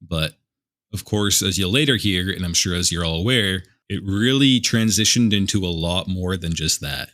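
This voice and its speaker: Podcast host guy, lowish voice, dry close sound, reads the intro upbeat. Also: normal speed, fairly bright tone, no room sound. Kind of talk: scripted podcast intro and casual chat.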